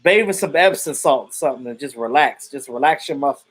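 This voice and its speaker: A man's voice: fairly high pitch, expressive, fast, a bit bright. A man in his thirties who hosts a live stream, chatting loosely about football and answering viewers, outspoken and swearing freely. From Jamaican family roots. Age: 30s. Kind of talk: casual sports chat reading viewer comments.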